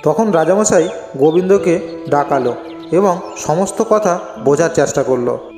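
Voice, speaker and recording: A man's voice: fairly high pitch, expressive, normal speed, a little mellow, soft background music. A man narrating a scripted cartoon folk story, in an echoey recording with long reverb.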